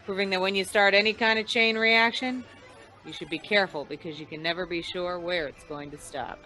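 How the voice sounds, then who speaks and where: A woman's voice: lowish voice, steady tone, brisk, over music, lightly acted, low-quality recording. A woman playing a wry, hard-boiled insurance investigator, narrating an old-time radio noir in slangy style.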